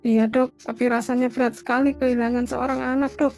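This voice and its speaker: A woman voicing an anxious, devoted middle-aged mother in an animated drama. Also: fairly high pitch, a little fast, faint music behind, lightly acted. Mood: sorrowful. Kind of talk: animated cartoon drama dialogue.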